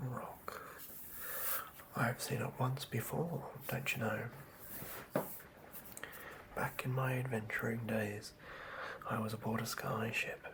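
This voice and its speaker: A performer voicing a seasoned, ominous tavern bard in a breathy whisper for a fantasy ASMR roleplay, telling tales theatrically. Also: mid-range voice, heavy background noise. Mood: nostalgic, hushed. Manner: slow, theatrical, old-fashioned tale-telling.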